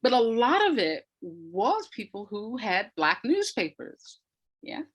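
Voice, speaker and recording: A woman's voice: on the high side, wildly swooping pitch, slow pace, a loud crowd. Thoughtful woman explaining as an interview guest. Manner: thoughtful, searching for words, emphasising key points. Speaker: interview guest, audio drama writer. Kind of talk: conversational explanatory interview talk.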